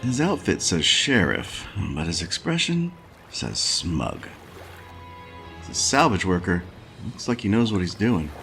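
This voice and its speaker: Gravelly, weary noir game engineer, male. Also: low-pitched, big pitch swings, measured pace, a bit bright, exaggerated voice. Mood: musing, subdued. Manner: hesitant, wry, muttering asides. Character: weary, dry-witted mechanical engineer in a life-support suit. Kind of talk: noir point-and-click game acting. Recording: music behind the voice.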